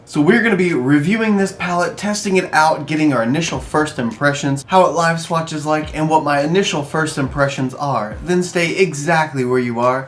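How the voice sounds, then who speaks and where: A man with a congested, nasal voice from a cold, chatting through a makeup product review and listing items slowly and carefully. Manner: chatty and expressive, lively jumps in pitch. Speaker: beauty vlogger reviewing makeup. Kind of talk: upbeat product review vlog.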